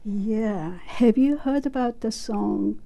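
A woman in her sixties, a club member talking about her club in an interview, speaking haltingly with many pauses. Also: on the high side, creaky, mellow. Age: 60s. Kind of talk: casual interview chat.

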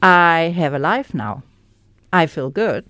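A woman with a German accent, a native German speaker, candidly sharing her recovery story as a guest in an interview, pausing to search for words. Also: low voice, sing-song, bright, forceful tone, no echo. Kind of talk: personal testimony in an interview.

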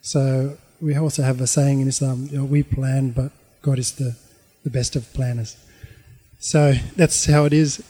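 A middle-aged man with an Australian accent tells his life story informally, in a halting, reflective way. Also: medium pitch, flat delivery, mellow, breathy edge, soft background music.

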